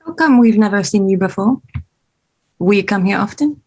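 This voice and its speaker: Casting: actress as a charmed, inquisitive French-accented woman, medium pitch, drama read. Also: animated, normal speed, darkish tone, husky, low-quality recording. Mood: friendly, curious. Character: friendly, curious French woman, charmed by a poet. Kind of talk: screenplay table read.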